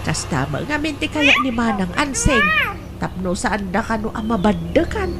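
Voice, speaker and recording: An actress plays a wistful, fretful Filipino sister for radio drama. Her fairly high voice moves up and down a great deal. Loud noise sits behind the recording. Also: sing-song, normal speed. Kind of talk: Ilocano radio drama acting.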